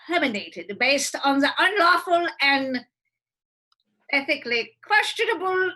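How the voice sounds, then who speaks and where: A woman's voice: very high voice, pushed and bright, heavily acted, poor audio. A woman playing a gloating, cackling villainous hospital doctor in a script reading. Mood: mocking.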